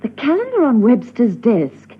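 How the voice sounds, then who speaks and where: A woman's voice: fairly high pitch, wildly swooping pitch, moderate pace, slightly rough, full character voice. A woman playing a poised, inquisitive secret agent with an arch manner in a classic spy radio drama. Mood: thoughtful, intrigued. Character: poised, curious female secret agent, drily amused. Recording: dull, band-limited old radio recording.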